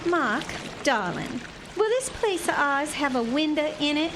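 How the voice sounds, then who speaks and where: A woman's voice: high voice, lively intonation, unhurried. A woman playing a young, feisty, teasing Texan wife in a radio play. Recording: clear water noise behind the voice.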